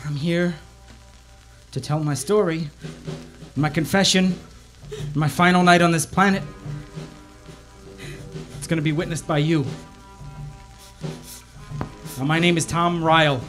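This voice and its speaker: Casting: man as deranged radio-drama murderer, bossy, threatening, high and sharp-voiced. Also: steady tone, a little slow, long pauses, soft background music, poor audio. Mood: intense, confessional. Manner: commanding, theatrical. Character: menacing, unhinged male killer hijacking a 1920s radio station. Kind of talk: old-time radio thriller acting.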